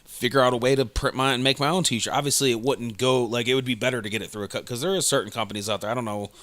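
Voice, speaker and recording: Rambling podcast dude, lots of likes and ums, super dry sound. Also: male voice, medium pitch, steady tone, rapid-fire, a bit bright, dry recording. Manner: rambling, lots of fillers and restarts. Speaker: podcast co-host. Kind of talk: casual chat.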